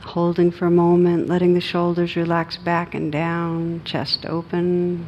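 A low-voiced woman leads a guided meditation with very little rise and fall in her pitch. She gives gentle, unhurried instructions and leaves long pauses between phrases. A steady background noise with a low rumble sits behind her voice. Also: lo-fi sound. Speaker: meditation teacher.

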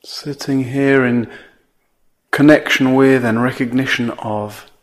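Slow-speaking man guiding meditation. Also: mid-range voice, steady tone. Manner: measured, with long pauses between phrases. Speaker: meditation teacher. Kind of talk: guided meditation.

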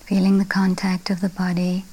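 A woman leading a guided meditation, speaking gently and softly in short, unhurried phrases with breathy endings. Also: mid-range voice, completely flat delivery, low-quality recording. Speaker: meditation teacher.

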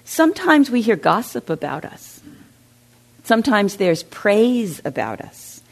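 A woman's voice: mid-range voice, big pitch swings, measured pace, vocal fry. A woman meditation teacher giving a reflective dharma talk to a seated group, often asking them questions. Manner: reflective, asks the audience questions. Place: meditation center hall.

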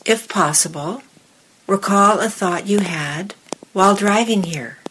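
A woman meditation teacher speaking to a group in measured, deliberate short phrases with pauses, her voice husky and breathy. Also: mid-range voice, a bit bright, a little hiss or hum, rough recording. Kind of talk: guided meditation and dharma talk to a group in the room.

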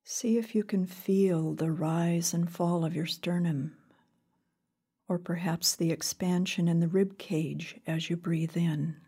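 A woman leading a guided meditation in a calm, gentle, unhurried way with long pauses, her voice soft and breathy. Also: on the low side. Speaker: meditation teacher. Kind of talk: guided body scan meditation.

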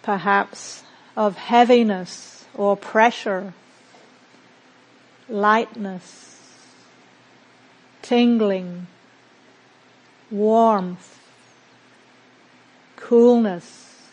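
Slow-speaking woman guiding meditation. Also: medium pitch, steady tone, low-quality recording. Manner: gentle, unhurried, with long pauses between phrases. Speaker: meditation teacher. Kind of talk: guided mindfulness meditation.

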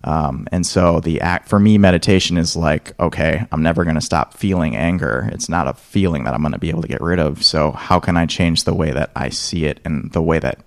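A man's voice: rumbling low, a little fast, creaky, few pauses, no room sound. A man earnestly sharing what meditation means to him in a group, rambling and correcting himself.

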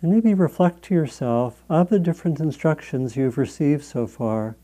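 A man guiding a meditation as a teacher, speaking in a measured, gentle way with long pauses between phrases. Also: on the high side, expressive. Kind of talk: guided meditation instruction.

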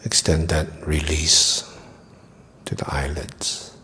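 Man, meditation teacher, very low pitch, soft and breathy, slow short phrases, long pauses. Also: level tone, in a whisper. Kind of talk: guided meditation instruction.

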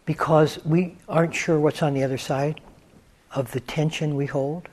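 A man teaching a guided meditation in a calm, gentle manner, leaving long pauses between phrases. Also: on the high side. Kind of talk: guided meditation, unscripted instruction.

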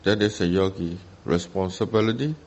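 A man with a Burmese accent, a meditation teacher, giving guided instruction in short simple phrases with long pauses between them. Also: deep voice, a little mellow. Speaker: meditation teacher, Buddhist monk.

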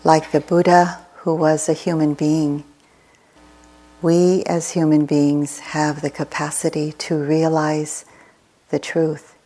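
Woman meditation teacher, really slow and flat, soothing measured phrases w long pauses. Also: low-pitched.

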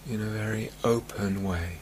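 A man leading a guided meditation as a teacher, speaking calmly and gently in short, spaced-out phrases. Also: fairly low pitch, slight background noise. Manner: calm, gentle, unhurried with long phrasing gaps. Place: meditation retreat talk, recorded live.

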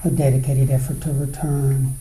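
A man teaching guided meditation, speaking in short, unhurried phrases with pauses between them. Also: medium pitch, flat delivery, background noise. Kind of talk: guided meditation, dharma talk. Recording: roomy, echoing space.

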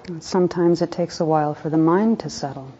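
A woman with a low, mellow voice guides a meditation. She speaks softly and calmly in short instructive phrases with room between them, and the recording is slightly dull and muffled. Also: brisk, slightly rough. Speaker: meditation teacher.

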